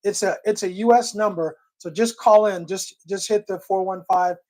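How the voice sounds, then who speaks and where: A man's voice: sits high, fairly even intonation, normal speed, darkish tone. A man co-hosting a call-in livestream, talking casually and emphatically with many restarts. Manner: restarts often, emphatic and friendly. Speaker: livestream co-host.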